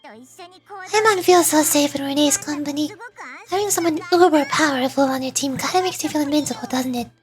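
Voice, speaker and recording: A woman's voice: high voice, normal speed, faint music behind, natural voice. A woman voicing a small, chatty, curious fairy-like companion girl while reading video game dialogue aloud.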